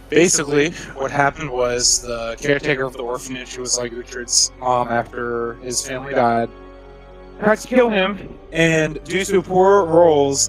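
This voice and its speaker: A man's voice: medium pitch, poor audio. A young man, one of the players, recapping the last game session in a rambling, filler-heavy way.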